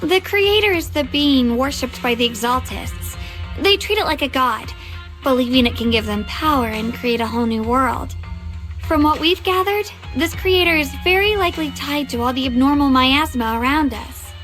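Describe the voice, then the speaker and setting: An actress voicing an earnest, trusting young swordswoman in a fantasy video game, expressive and sincere. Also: sits high, moderate pace, faint music behind. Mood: serious, explaining. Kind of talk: English video game voice acting.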